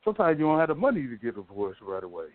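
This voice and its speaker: American man, thin phone-like sound, mid-pitched. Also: wildly swooping pitch, rapid-fire, dry recording. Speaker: radio talk show co-host. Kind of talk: casual personal talk. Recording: narrow phone-like line, dry.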